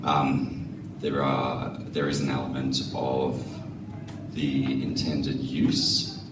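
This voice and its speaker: A man's voice: very deep voice, completely flat delivery, drawn-out words. A man, a councillor, posing a hesitant, tentative question to the chair. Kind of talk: formal question at a council meeting. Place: council chamber. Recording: echoey chamber, distant mic, noticeable hiss.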